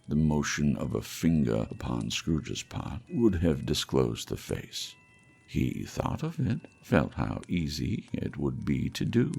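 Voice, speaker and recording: A man's voice: rumbling low, creaky, a little mellow, breathy edge. A man reading a ghost story as a solemn, theatrical audiobook narrator. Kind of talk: dramatic scripted storybook narration.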